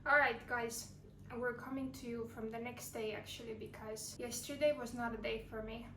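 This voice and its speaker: A woman's voice: on the high side, fairly even intonation, moderate pace. A young woman from Estonia vlogging in a casual, rambling way, full of fillers and self-corrections, speaking English as a second language. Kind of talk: casual vlog chat. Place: cafe. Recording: echoey with loud background noise.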